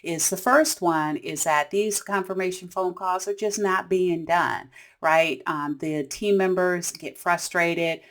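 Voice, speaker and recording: A woman's voice: on the low side, slow. A woman hosting an instructional explainer in a conversational, explanatory manner. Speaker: host of a tips series. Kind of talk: instructional explainer for business teams.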